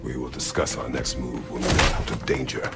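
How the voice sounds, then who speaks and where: An actor playing a stern, battle-worn warrior father in a video game, gravelly, terse and formally commanding. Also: very deep voice, monotone, brisk, loud music behind the voice. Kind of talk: dramatic video game acting.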